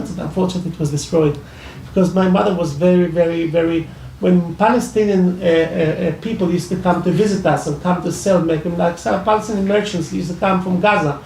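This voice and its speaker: Gravelly man with an Iraqi accent, echoey hall. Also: high voice, a little mellow, a little hiss or hum. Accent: Iraqi Arabic. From Iraq. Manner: halting, many restarts and fillers. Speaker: speaker at a public discussion. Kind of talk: personal testimony at a talk. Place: library event room. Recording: echoey hall, mic from far off.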